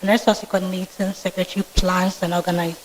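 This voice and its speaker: A soft-spoken young woman in her twenties with a Ghanaian English accent, giving polite, formal answers as a student candidate in a vetting interview. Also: lowish voice, flat delivery, fast, a little hiss or hum, rough recording. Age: 20s. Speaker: student candidate being vetted. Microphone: microphone with feedback.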